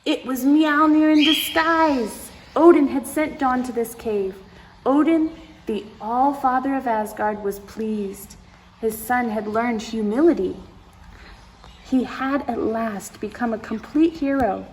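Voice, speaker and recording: Woman, higher-pitched, children's story time host, expressive read-aloud, asides to listeners, noticeable background noise. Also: takes it slowly, rough recording.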